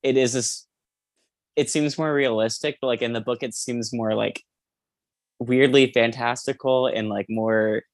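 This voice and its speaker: A man's voice: medium pitch, fairly even intonation, a little slow, dry recording, clean studio sound. A man co-hosting a podcast, chatting casually about a book in a rambling, hesitant way full of filler words. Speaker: podcast co-host. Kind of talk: casual book discussion chat.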